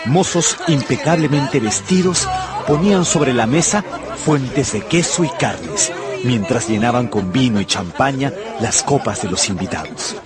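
A man's voice: medium pitch, animated, unhurried, close to an everyday voice. An actor playing a bitter, obsessive ruined gambler in a radio drama, brooding and dramatic as he talks to himself and looks back. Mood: nostalgic, confiding. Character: bitter, obsessive ruined male gambler recalling his youth. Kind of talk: radio drama monologue and narration. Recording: music behind the voice.

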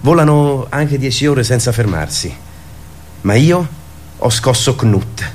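An actor playing an excited, earnest boy who dreams of flight, in a radio theatre piece. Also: medium pitch, takes it slowly, slightly rough. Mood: excited, nervous.

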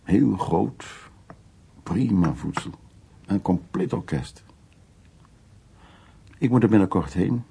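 An actor playing a worldly, self-assured, flirtatious middle-aged art restorer, speaking in short, familiar, unhurried phrases in a naturalistic radio drama. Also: male voice, low-pitched, expressive, vocal fry, mellow, husky, full character voice. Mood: relaxed self-assurance.

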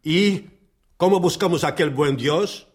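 An actor in a radio play voicing a bitter, haughty writer, scornful and world-weary. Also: male voice, on the high side, normal speed, natural voice. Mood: bitter, declaiming. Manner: haughty, declamatory.

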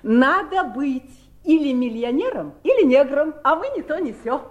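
A woman's voice: sits high, big pitch swings, normal speed, dull audio. An actress playing an ambitious, domineering, greedy society woman in a theatrical radio drama. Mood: cool, dismissive. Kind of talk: radio drama stage acting. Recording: 1970s radio play recording.

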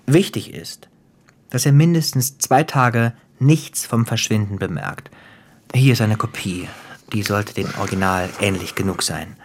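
A man acting in a German radio play as a cruel, gleeful crime boss, theatrical and taunting, with a husky voice that drops into whispers. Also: lowish voice, a little slow, a little hiss or hum. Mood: taunting, menacing. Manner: theatrical, taunting, savoring storyteller.

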